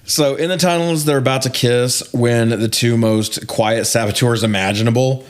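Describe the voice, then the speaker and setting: A man's voice: medium pitch, moderate pace. A young man co-hosting a podcast, casually recapping a film with wry, exasperated, nitpicking commentary and frequent swearing. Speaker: podcast co-host.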